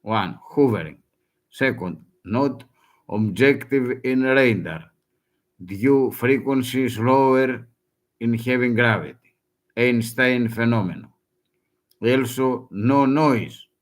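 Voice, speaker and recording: A man whose first language is Greek, speaking broken English in a halting, urgent warning with many restarts. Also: medium pitch. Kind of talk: halting self-recorded warning.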